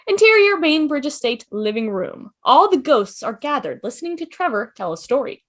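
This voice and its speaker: High, lively woman reading stage directions. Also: moderate pace. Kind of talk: scripted narration at a table read.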